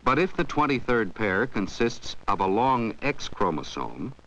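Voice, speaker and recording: A man reading scripted educational film narration in a measured, explanatory way. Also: lowish voice, big pitch swings, fairly bright tone. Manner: measured, explanatory, poses questions to the viewer. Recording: dull 1970s 16mm film soundtrack.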